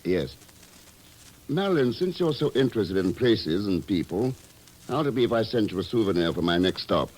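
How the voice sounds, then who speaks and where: An actor playing an unflappable, urbane globe-trotting agent in a vintage radio drama. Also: male voice, fairly low pitch, sing-song, moderate pace, darkish tone, low-quality recording. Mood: genial, amused. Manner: urbane, easygoing, assured. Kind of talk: 1950s radio drama acting.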